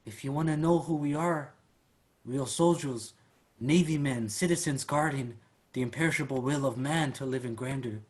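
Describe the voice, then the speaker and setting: A man's voice: mid-range voice, normal speed, darkish tone, lightly acted. An actor playing a proud, stubborn elder brother, a plain-spoken man in a staged drama. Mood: heartfelt, resolute. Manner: plain-spoken, heartfelt, defiant when provoked. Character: Amado, proud, stubborn elder Filipino brother, rough drifter. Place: online virtual staged reading.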